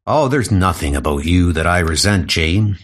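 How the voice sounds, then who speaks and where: Man playing a low-voiced, earnest frail doctor. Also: lively intonation, moderate pace. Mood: gentle. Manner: earnest, self-doubting. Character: frail lame male doctor, earnest, secretly lovelorn.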